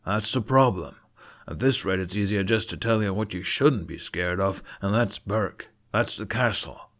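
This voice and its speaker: A man voicing a stern, gruff, protective father who is a king in a fantasy story. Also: on the low side, moderate pace, a bit bright. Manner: scolding, commanding, protective.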